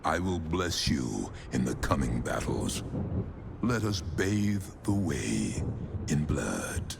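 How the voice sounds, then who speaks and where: Extremely deep, bloodthirsty dark zealot. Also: male voice, very animated, slow, vocal fry, background noise, heavily acted. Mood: ominous zeal. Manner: grandiose, ominous. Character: menacing, bloodthirsty male servant of a dark god.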